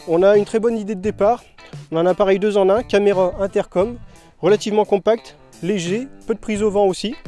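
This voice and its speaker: High-pitched man reviewing gear, soft-toned. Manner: informal, conversational. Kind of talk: casual product review narration and riding chat.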